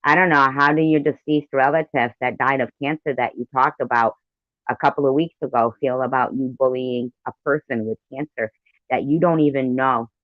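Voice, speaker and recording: A man's voice: mid-range voice, fairly even intonation, normal speed, no room sound. Dull-sounding, argumentative man commentating. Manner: argumentative, blunt, swearing. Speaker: live stream host. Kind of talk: reaction commentary over played clips. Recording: dull lo-fi close microphone.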